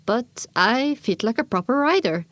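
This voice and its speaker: A woman narrates a scripted story in the first person. Her voice sits a little high. The sound is dry, with little room around it. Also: lively intonation, normal speed, a bit bright, no room sound.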